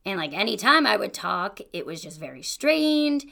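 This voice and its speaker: Bright, gravelly young woman podcaster. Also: medium pitch, very animated, moderate pace. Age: young adult. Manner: chatty and encouraging, with fillers like "you know" and "like". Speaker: podcast host. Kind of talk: casual solo podcast monologue.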